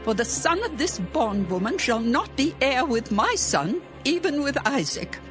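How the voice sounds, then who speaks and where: A woman's voice: sits high, very animated, measured pace. A woman voicing an indignant older matriarch who speaks sharply and insistently. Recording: music playing behind.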